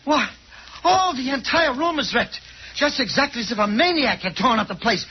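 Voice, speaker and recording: An actor playing a timid, fussy little tobacconist in an old radio horror drama, nervous and stammering. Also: male voice, extremely high pitch, animated, normal speed. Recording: muffled, noisy vintage radio.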